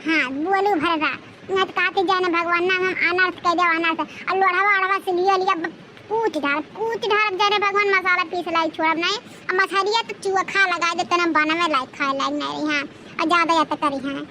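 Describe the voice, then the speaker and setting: A woman's voice: very high voice, fairly even intonation, normal speed, sharp tone, slight background noise. A woman voicing a hot-tempered village wife with an Awadhi accent in a comedy cartoon, ranting and threatening her husband. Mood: furious, defiant.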